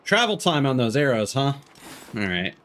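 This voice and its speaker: A man's voice: mid-range voice, sing-song, normal speed, noisy background. A man streaming a video game with casual live commentary and dryly playful asides.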